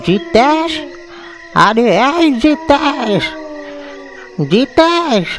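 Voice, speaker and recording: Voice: high voice, animated, very slow, faint music behind, heavily acted. An actor voicing a frail, fond old village grandfather in a cartoon ghost story, rambling and repeating words in a fatalistic way. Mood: calling out. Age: older. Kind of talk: dramatised animated ghost story.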